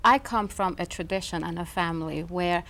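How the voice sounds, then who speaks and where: A woman speaks as a guest in a television interview, her voice set slightly low. She talks softly and thoughtfully, pausing often to find her words. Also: gravelly, a little hiss or hum. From Iran. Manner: soft-spoken, thoughtful, many ums. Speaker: guest, author. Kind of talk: interview conversation. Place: television studio.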